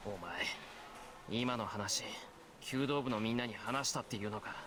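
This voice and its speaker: A man's voice: mid-range voice, quick pace, noisy background, lightly acted. A man voicing an earnest, angry teenage student in a visual novel, speaking in a blunt, accusing way. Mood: tense anger. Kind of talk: Japanese visual novel voice acting.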